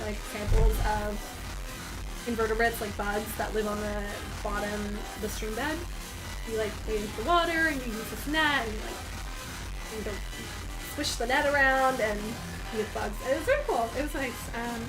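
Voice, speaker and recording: A woman's voice: a little high, fairly quick, music in the background, rough recording. A young woman in her twenties with a lisp, chatting casually on a livestream and reacting to viewer comments, bubbly and full of "like".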